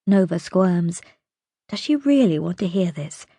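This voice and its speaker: A woman reading an audiobook as narrator, telling the story expressively in a measured, dramatic way. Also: on the low side, animated, dark tone, no echo, studio quality.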